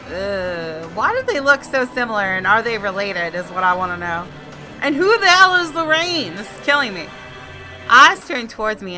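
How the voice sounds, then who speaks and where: A woman gaming YouTuber reading visual novel narration aloud expressively, with casual asides. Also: medium pitch.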